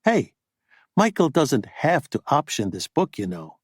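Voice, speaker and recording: A man voicing a pushy, profane, fast-talking male Hollywood agent in an audiobook. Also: mid-range voice, huge pitch swings, husky, no room sound, studio quality, full character voice. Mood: brash. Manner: pushy, profane, rapid-fire.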